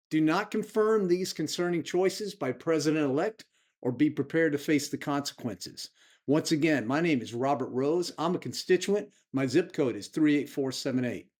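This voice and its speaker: American man, higher-pitched voice, emphatic, indignant, impassioned political advocacy. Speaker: host and political activist.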